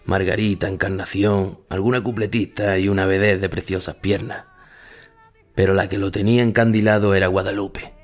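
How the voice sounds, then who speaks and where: A male actor with a Spanish accent playing an ageing, reflective, melancholy bullfighter, narrating memories in a measured, intimate way. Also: fairly low pitch, lightly acted. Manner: measured, solemn, intimate storytelling. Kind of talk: first-person literary narration in an audio drama.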